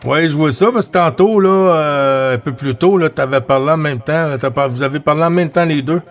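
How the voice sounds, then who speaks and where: Halting Quebec French man, slightly high voice. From Quebec, Canada. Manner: halting, repeats his phrases. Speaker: CB radio operator. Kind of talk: casual radio chat with greetings.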